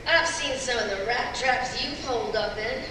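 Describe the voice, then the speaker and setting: A woman's voice: fairly high pitch, moderate pace, close to an everyday voice. A woman voicing a sassy, flirty mutant heroine in a live cartoon table read. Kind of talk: live script table read of an animated series. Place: large convention hall, live on stage. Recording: echoey hall PA, distant, noisy.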